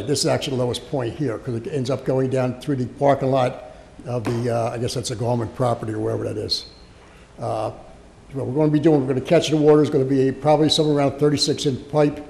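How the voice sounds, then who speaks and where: An American man presenting a project at a public meeting as a local official, speaking off the cuff in a halting way and repeating words. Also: medium pitch, warm tone. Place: indoor township meeting room. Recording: reverberant meeting hall.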